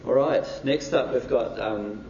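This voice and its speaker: A man hosting a lecture event, introducing the speakers in a relaxed, casual way with many fillers. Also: mid-range voice, a little slow, noisy background. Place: university lecture hall. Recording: echoey lecture hall, distant dull mic.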